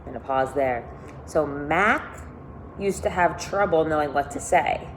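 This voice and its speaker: A woman teacher reading a children's novel aloud to her class, speaking deliberately and pausing to think aloud with questions. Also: sits low, a little hiss or hum, low-quality recording. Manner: deliberate, questioning, stressing key words for young listeners.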